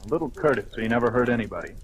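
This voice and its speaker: An actor playing a wisecracking private detective, with dry, sardonic delivery in old radio noir style. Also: male voice, mid-range voice, lively intonation, rapid-fire, natural voice. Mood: dry, wry. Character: wisecracking, hard-boiled male private detective narrating his case. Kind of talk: old-time radio noir narration and acting. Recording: noisy old radio recording.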